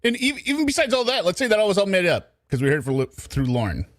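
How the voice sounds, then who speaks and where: A man's voice: sits high, wildly swooping pitch, fast, a bit bright, dry recording, clean studio sound. A man giving casual, off-the-cuff commentary as a livestream commentator.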